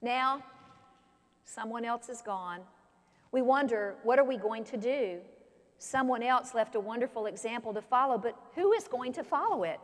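An older woman pastor preaching an animated sermon from a pulpit in a large church sanctuary. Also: a little high, normal speed. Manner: animated, expressive preaching with gestures. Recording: long church reverb.

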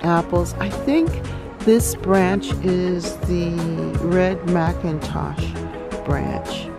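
A woman hosting a relaxed garden tour outdoors, talking reflectively with many pauses and ums. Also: fairly low pitch, gravelly, loud music behind the voice. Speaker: garden channel host. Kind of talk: casual garden tour vlog.

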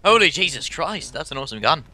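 A man's voice: a little high, very animated, quick pace, pushed and bright. A man from Sweden in casual voice chat during an online game, playful and mock-polite, over a distorted crackling mic. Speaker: gamer on voice chat.

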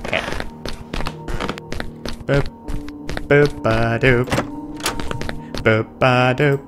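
A man's voice: medium pitch, fairly even intonation, extremely slow, over music. A man giving casual let's play commentary on a horror game, muttering asides, exclaiming nervously and making playful little noises. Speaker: gamer commentating on his horror game playthrough.

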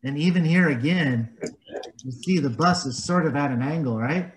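A man reading a picture book aloud to young children, gently explaining it in simple words and asking them questions. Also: on the high side, moderate pace, a little hiss or hum, rough recording. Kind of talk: storybook read-aloud with explanations.

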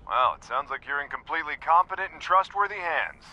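A man's voice: a little high, lively intonation, brisk, sharp tone, highs cut off. A man with an American accent acting an exasperated, straight-laced, sarcastic character heard through a call filter in a video game. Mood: dry exasperation.

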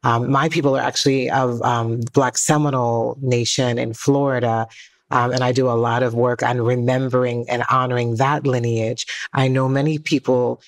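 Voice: mid-range voice, little pitch movement, normal speed. A panel guest from the United States speaking reflectively, with emphatic, list-like phrasing.

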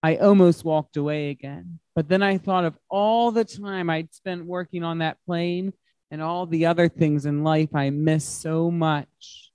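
A man's voice: on the high side, fairly even intonation, measured pace, a little mellow, smooth, dry recording. A man reading a picture book aloud to children as a guest reader, expressively, with simple emphatic phrasing. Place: large glass-walled library room.

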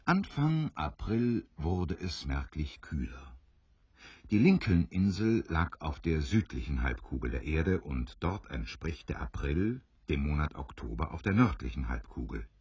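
A man with an extremely deep, smooth voice narrates an adventure audio drama. His measured storytelling moves expressively up and down, in a dry, slightly dull recording. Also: big pitch swings, darkish tone, no room sound.

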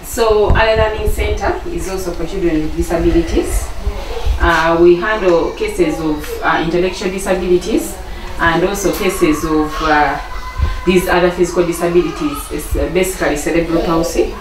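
A woman's voice: lowish voice, fairly even intonation, moderate pace. A Ugandan woman, a learning centre staff member, explaining her work. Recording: echoey noisy room, distant mic.

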